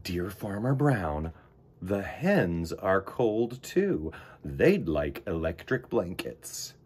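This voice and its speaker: A man's voice: sits low, big pitch swings, very slow, darkish tone, slightly rough, pitched below the performer's own voice, exaggerated voice. A man voicing polite but stubborn farm cows in a children's storybook. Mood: flat, firm.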